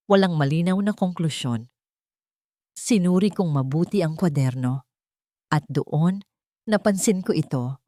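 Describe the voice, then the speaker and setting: A woman reading a scripted first-person dramatic story as narrator, in an earnest, deliberate way. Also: lowish voice, warm tone, no room sound, clean studio sound.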